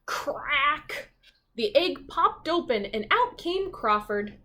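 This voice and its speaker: A woman reads a picture book to children like a teacher. Her delivery is unhurried and expressive, with lively intonation, and she pauses to comment and question. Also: high-pitched. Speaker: teacher reading aloud. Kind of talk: children's storybook read-aloud.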